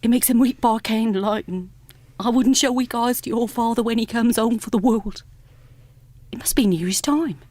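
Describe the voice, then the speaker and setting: A woman's voice: a little high, moderate pace, darkish tone, no echo, natural voice. A woman playing a grieving mother in a radio play, tender and wistful as she bravely hides her sorrow. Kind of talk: theatrical radio drama acting.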